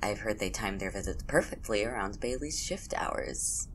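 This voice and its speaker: Casting: easygoing, teasing young friend for audio drama, actor, dry playful delivery with big swings in pitch. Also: lively intonation, moderate pace, a bit bright, a little hiss or hum. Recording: music under the voice.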